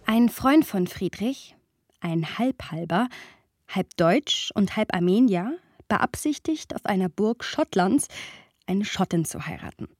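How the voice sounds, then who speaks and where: A thoughtful young literary woman in a radio play. Her voice sits at a middle pitch with a soft husk, recorded very dry over music. She phrases earnestly and with measured care, reflective yet passionate. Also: expressive, dry recording. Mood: reflective narration. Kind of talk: radio drama narration and dialogue.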